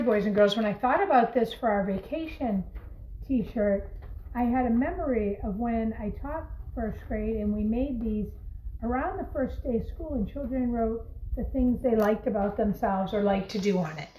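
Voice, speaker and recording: A woman teacher gently and encouragingly talking young children through a craft in simple words as she demonstrates it. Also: a little high, moderate pace, dull audio. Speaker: primary school teacher leading a craft. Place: home kitchen, by the refrigerator. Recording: echoey room with faint background noise.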